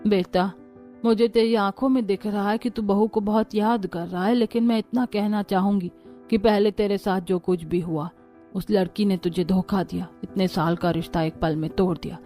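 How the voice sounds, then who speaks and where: A woman's voice: mid-range voice, fairly even intonation, normal speed, dark tone, faint music behind, close to an everyday voice. Woman acting a grave, kindly old grandmother. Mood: grave, urging. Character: kindly old grandmother giving firm, caring advice.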